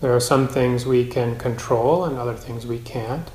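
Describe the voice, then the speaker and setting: A man teaching a guided meditation in a measured, gentle way, with long pauses between phrases. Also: mid-range voice, steady tone. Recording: roomy, echoing space.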